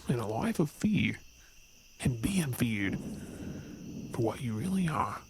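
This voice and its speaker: A man's voice: medium pitch, big pitch swings, slow. A man playing an earnest, brooding werewolf, weary of hiding, in a supernatural ASMR audio drama. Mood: anguished. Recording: faint noise with chirping night insects.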